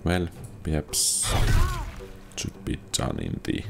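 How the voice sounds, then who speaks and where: Very deep, gravelly-voiced man. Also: sing-song, slow pace. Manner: thinks aloud, dry understatement. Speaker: gameplay video host. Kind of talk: casual commentary over gameplay. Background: game music.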